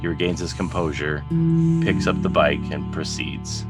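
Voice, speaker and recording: Deep-voiced man narrating over guitar music. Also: steady tone, normal speed. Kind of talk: scripted narration of stage directions.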